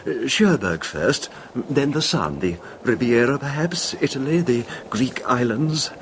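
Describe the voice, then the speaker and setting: A man acts out a nervous, deferential little man in a vintage radio horror play. He speaks softly and haltingly, apologising as he goes, with a voice that rises and dips widely. The old recording carries faint noise. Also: mid-range voice, animated, lightly acted. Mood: nervous, confiding. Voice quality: soft-spoken, sometimes barely audible. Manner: tentative, deferential, halting, overly polite. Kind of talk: 1970s radio horror drama acting.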